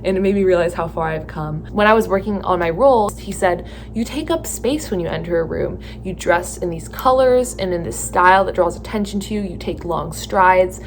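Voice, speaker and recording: A young woman with a Californian accent speaks in a lowish, tired voice. She takes her time, restarts her sentences and fills pauses with "um" and "like" as she reflects to the camera. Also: a little hiss or hum. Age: young adult. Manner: slow, thoughtful, with many fillers and restarts. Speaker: theatre student vlogger. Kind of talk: casual reflective talk to camera.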